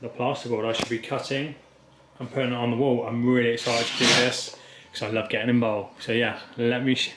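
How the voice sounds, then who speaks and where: A man's voice: mid-range voice, little pitch movement, a little fast, heavy background noise. A young man chatting cheerfully in a casual vlog, showing off rooms of a house being renovated. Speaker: vlogger, husband. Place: house under renovation, indoors.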